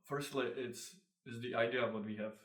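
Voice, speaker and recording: A young man with a Czech accent, a designer answering interview questions casually, halting often with fillers and restarts. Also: medium pitch, steady tone, loud music behind the voice. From Czech Republic. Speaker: designer, co-founder of a clothing brand. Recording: echoey room, mic at a distance.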